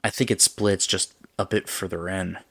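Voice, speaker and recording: A man's voice: sits low, steady tone, moderate pace, a bit bright, breathy edge, no room sound, clean studio sound, heavily acted. A man voicing a brooding, determined young explorer in a horror story, speaking in terse, defensive dialogue. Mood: focused. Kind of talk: acted dialogue in horror narration.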